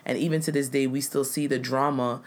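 A man from the United States co-hosting a casual chat, talking in an earnest, emphatic way that gets louder when he makes a point. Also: medium pitch, flat delivery, brisk.

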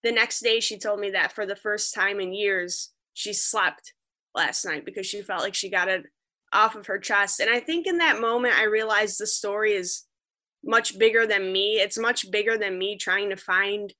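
Young woman, freelance journalist, earnest storyteller, bright forceful tone, mid-pitched. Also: moderate pace. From Western New York. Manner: earnest, vivid storytelling, quoting others. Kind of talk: podcast interview, storytelling.

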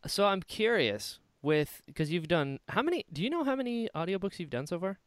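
Animated man, casually effusive. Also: high voice, brisk, background noise. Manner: effusive, amazed reactions. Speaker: podcast host, interviewer. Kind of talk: casual chat.

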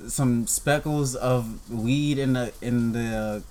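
A young man in casual conversation, telling a story in a relaxed way with many fillers. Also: medium pitch, takes it slowly. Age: young adult.

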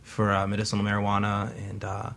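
Rambly guy, bright edgy voice, a bit low, casual. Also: monotone, quick pace. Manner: rambling, full of "you know", joking. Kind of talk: casual radio talk.

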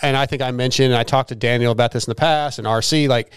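Podcast host guy, smooth clear voice, dry close mic, easygoing. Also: mid-range voice, steady tone, fast, dry recording. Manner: laid-back, agreeable, swears casually. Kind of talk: casual podcast chat.

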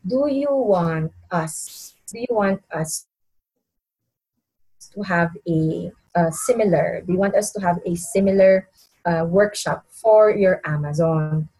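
A woman's voice: on the low side, takes it slowly, warm tone, breathy edge, low-quality recording. A woman, telling her startup story as a podcast guest with upbeat enthusiasm and frequent repeats. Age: 30s. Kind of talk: casual interview storytelling.

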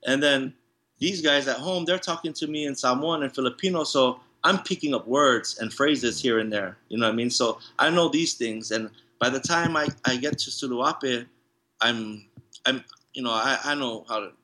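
Male tattoo artist, interview guest, bright tone, easygoing rambling delivery. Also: medium pitch, moderate pace, low-quality recording. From Hawaii, raised in San Diego. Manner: relaxed, rambling, many restarts and "you know". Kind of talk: casual interview chat.

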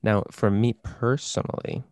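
A man's voice: on the low side, fairly even intonation, a little slow, darkish tone, no echo, studio quality. A man co-hosting a casual podcast, chatty and prone to repeating himself. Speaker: podcast co-host.

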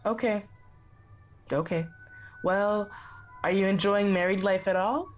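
A woman with a Canadian accent plays a calm, absent-minded therapist who gives stock advice. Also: medium pitch, unhurried, faint music behind, close to an everyday voice. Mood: polite. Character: distracted, bland female therapist.

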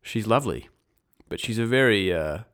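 Young male podcast host, very deep voice, nasal from a cold, loose rambling delivery with wry self-corrections. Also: expressive, moderate pace, a bit bright. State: congested with a cold. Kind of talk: casual esports news podcast.

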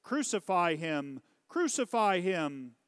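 A man voicing an angry, accusing mob of priests and townsmen in a scripture story, crying out forcefully. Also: fairly high pitch, smooth, dry recording, clean studio sound. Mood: furious. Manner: loud, forceful, drawn-out crying out.

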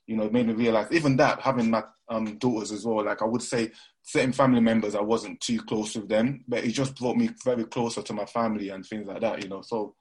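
Husky low-voiced man, Nigerian-London accent. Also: steady tone, fast talker, a little mellow. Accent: mixed London and Nigerian. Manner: rambling with frequent fillers and restarts. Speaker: guest. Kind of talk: casual interview chat.

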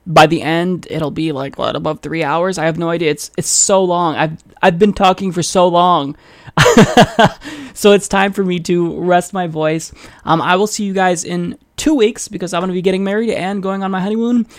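A young man hosts a laid-back political podcast, talking in an earnest, rambling way. His voice sits a little high, and it has gone hoarse from hours of talking. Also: steady tone, normal speed. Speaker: podcast host. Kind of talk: casual political interview.

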